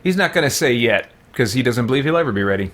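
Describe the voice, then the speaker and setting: Guy doing chill gaming commentary, medium pitch, thinking out loud, kinda halting. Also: animated. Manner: chuckling, hesitant, musing aloud. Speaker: video game player and commentator. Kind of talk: casual let's-play commentary.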